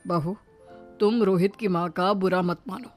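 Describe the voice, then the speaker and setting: A woman voicing a calm, placating elderly father-in-law in a cartoon moral story, speaking in a measured, soothing way. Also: lowish voice, close to an everyday voice. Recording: music behind the voice.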